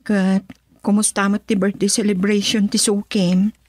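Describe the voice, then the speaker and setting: Actress as devoted, fretful young Ilocano wife for radio drama; slightly husky, close dry sound. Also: female voice, medium pitch, little pitch movement, unhurried, no room sound, close to an everyday voice. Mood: gentle, slightly chiding.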